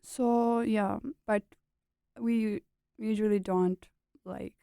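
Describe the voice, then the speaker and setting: A woman's voice: medium pitch, steady tone, extremely slow, mellow, clean tone, no room sound, clean studio sound. A young woman in her twenties from Pakistan with a Pakistani accent, whose first language is a regional tongue, answering interview questions earnestly in short plain sentences and laughing often. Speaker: graduate student guest. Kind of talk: casual interview chat.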